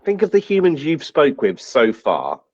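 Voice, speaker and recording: A man's voice: a little high, huge pitch swings, moderate pace, a little mellow. A man playing a tabletop roleplay game, bantering in and out of character, on a slightly dull recording.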